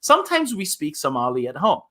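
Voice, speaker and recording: Man reading as Sadiq, a bright-voiced friendly boy. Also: fairly high pitch, wildly swooping pitch, fairly quick, heavily acted. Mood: cheerful introduction. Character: Sadiq, friendly young boy introducing his family.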